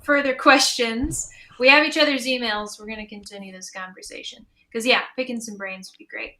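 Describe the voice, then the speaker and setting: Bright, high-voiced woman chatting on a panel. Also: steady tone, a little fast, poor audio. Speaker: panel moderator, audio drama creator and musician. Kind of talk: conversational panel discussion.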